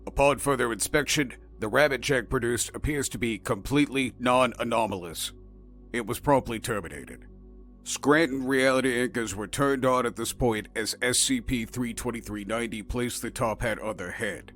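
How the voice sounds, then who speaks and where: A man's voice: medium pitch, moderate pace, fairly bright tone, soft background music. A man narrating in the scripted, formal style of a clinical scientific report.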